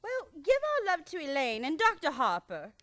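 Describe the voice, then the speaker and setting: Sweet old spinster auntie, radio play, high lilting voice, very genteel. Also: female voice, huge pitch swings, normal speed, fairly bright tone, dry recording, full character voice. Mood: sweet, fussing. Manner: fond, genteel, matter-of-fact. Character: sweet, genteel, unflappable elderly spinster aunt. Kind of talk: old-time radio comedy acting.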